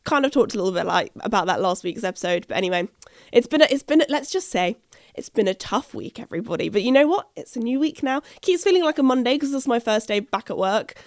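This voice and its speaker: A British woman hosting a podcast, chatting in a rambling way with a croaky, husky voice from a chest infection. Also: fairly high pitch, animated, fast talker, dry recording, clean studio sound. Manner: chatty, rambling, self-interrupting. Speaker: podcast host. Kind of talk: casual podcast chat. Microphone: podcast microphone.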